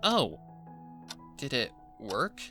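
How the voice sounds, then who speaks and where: A narrator voicing a young female unicorn pony who is caring, curious and modest, speaking in a gentle, earnest, slightly hesitant way for a story reading. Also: medium pitch, very animated, very slow, faint music behind, heavily acted. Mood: pleased, flustered.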